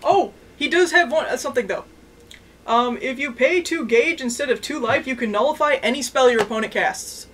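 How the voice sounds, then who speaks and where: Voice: sits high, fairly quick, fairly bright tone. Blunt, informal co-host with background noise. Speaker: co-host of a card game anime review. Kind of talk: casual chat, recapping and commenting.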